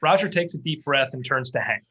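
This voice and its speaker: A man's voice: medium pitch, animated, a little fast, bright, forceful tone, slightly rough, lo-fi sound. A man reading scene headings and stage directions as scripted narration for a table read.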